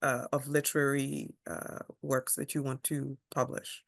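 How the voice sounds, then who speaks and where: A woman from Kenya, interviewing a guest over a video call, halting as she searches for words. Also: extremely low pitch, little pitch movement, vocal fry.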